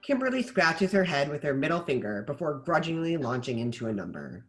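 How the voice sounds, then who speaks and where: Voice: mid-range voice, sing-song, brisk, a bit bright, faint music behind. A narrator reading scripted stage directions for a table read.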